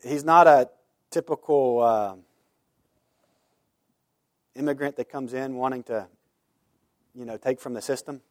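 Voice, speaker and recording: A man's voice: mid-range voice, measured pace, long pauses, no echo, studio quality. A man pastor giving informal church announcements and prayer requests, earnest and full of "uh" fillers. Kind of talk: church announcements before sermon. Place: church sanctuary.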